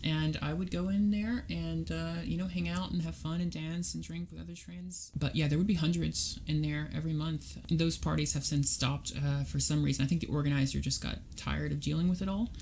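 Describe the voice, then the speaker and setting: A woman in a relaxed podcast chat, her voice carrying a gravelly fry as she works through ideas point by point. She explains patiently and logically, with a faint hiss of noise in the background. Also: deep voice, brisk, hardly any pauses. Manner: reasoned, explanatory, talks through arguments step by step. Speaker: podcast guest. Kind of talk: casual conversational interview.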